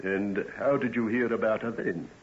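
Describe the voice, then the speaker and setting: An actor playing a polite but persistent Victorian police inspector in an old-time radio drama. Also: male voice, fairly low pitch, steady tone, normal speed, slight background noise, natural voice. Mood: methodical, persistent. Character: probing, methodical male police inspector of Victorian London. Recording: dull vintage radio recording.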